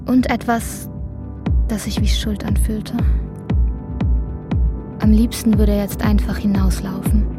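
A woman's voice: mid-range voice, level tone, measured pace, pauses often, over music, lightly acted. A woman narrating a literary radio drama, recounting an anxious man's inner thoughts. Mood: reflective, measured.

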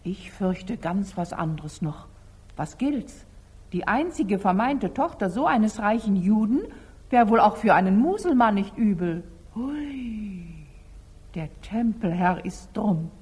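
An actress playing an anxious, scheming household companion in a 1940s German radio drama, theatrical and conspiratorial, with a husky voice. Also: female voice, medium pitch, lively intonation, slow, darkish tone. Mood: conspiratorial, determined.

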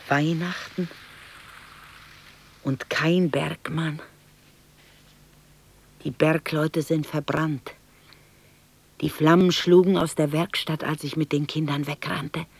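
An actress playing an aging, homesick widowed mother from Bohemia in a 1960s German radio drama monologue, reminiscing wistfully and anxiously in hushed, halting fragments. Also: low voice, no room sound, heavily acted. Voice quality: husky, breathy, creaky.

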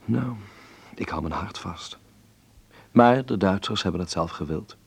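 A man's voice: low-pitched, expressive, unhurried, creaky, husky, full character voice. A man playing a wry, uneasy observer reading his letter aloud in an intimate, reflective radio drama monologue. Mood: wryly ironic. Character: male letter writer in 1933 Berlin, wry, uneasy observer.

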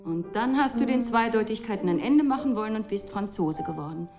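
An actress playing a sharp-tongued, challenging woman in a German radio drama, articulate and full of reproachful rhetorical questions. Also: mid-range voice, animated, fairly quick, close to an everyday voice. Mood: mocking, reproachful. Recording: plucked guitar music behind.

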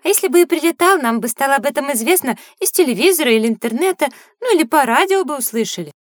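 A woman voicing a bright, sensible, slightly know-it-all schoolgirl in an educational audio drama, speaking in an expressive, matter-of-fact way. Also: high-pitched, fast talker, no room sound, studio quality. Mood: skeptical.

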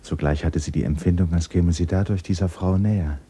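An actor as a grave, intimate storyteller, narrating a literary radio play in a measured, hushed way. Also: male voice, low voice, steady tone, slight background noise, lightly acted.

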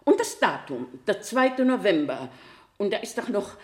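A stubborn, talkative middle-aged woman played by an actress gives testimony in a radio play. Her medium-pitched voice swoops expressively as she insists, emphatic and utterly sure of her gift as a clairvoyant. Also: big pitch swings, normal speed, close to an everyday voice. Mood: eager, insistent. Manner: emphatic, matter-of-fact, unshakeable. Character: stubborn, chatty self-declared clairvoyant woman testifying in court. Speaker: witness in court. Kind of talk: 1970 German radio play acting.

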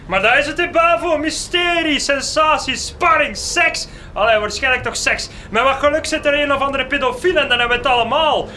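Shrill, hot-tempered Flemish newspaper boss. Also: male voice, brisk, few pauses, sharp tone, a little hiss or hum, heavily acted. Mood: excited, bossy. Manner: theatrical, sarcastic, barking colourful insults. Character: foul-mouthed, bullying male newspaper editor-in-chief, hot-tempered, mocking. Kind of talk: comic radio drama acting.

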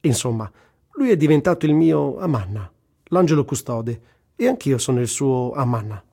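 Radio play: male actor as wry, indignant war correspondent, mid-pitched and mellow, telling his diary expressively. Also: expressive, normal speed, creaky, breathy edge. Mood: tense, vivid. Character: male war journalist recounting risky trips, wry and indignant. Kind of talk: dramatised diary reading, radio theatre.

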